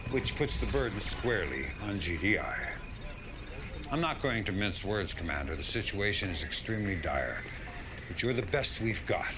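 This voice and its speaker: An actor playing a gruff, resolute general in a video game cutscene, speaking bluntly with grave command. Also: male voice, sits low, very animated, moderate pace, noisy background. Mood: grave, urgent. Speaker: military general.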